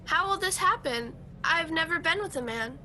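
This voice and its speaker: A humble young bride-to-be, acted by a woman, with a high, bright voice. She is earnest and devout in radio-drama style, recorded at home with faint noise and rumble. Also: animated, moderate pace, full character voice. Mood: startled, confused. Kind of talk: radio play acting.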